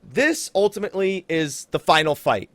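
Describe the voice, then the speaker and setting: A man's voice: on the high side, sing-song, normal speed, a bit bright, no room sound. A man hosting a casual gaming podcast, talking emphatically and straight to the listeners to hype things up. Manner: emphatic, hyping, talks directly to listeners. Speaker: podcast host.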